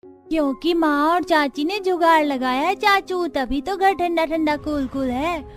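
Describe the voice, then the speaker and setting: A cute little village kid for a cartoon. The voice is extremely high and slow, drawing words out childishly over faint music. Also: female voice. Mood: proud. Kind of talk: Hindi cartoon story dialogue.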